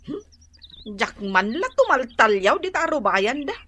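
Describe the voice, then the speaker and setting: A woman's voice: high voice, very animated, measured pace, pushed and bright, a little hiss or hum, heavily acted. A woman playing a peevish, envious younger sister in an Ilocano Filipino radio comedy drama, with a whiny, sulky delivery. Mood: envious, scornful. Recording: music behind the voice.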